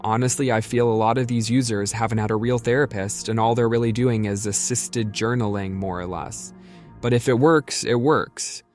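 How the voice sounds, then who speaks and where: A man's voice: medium pitch, fairly even intonation, normal speed, a little mellow. A man reading a written message aloud in a plain, explanatory way. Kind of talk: scripted reading of an email. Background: music.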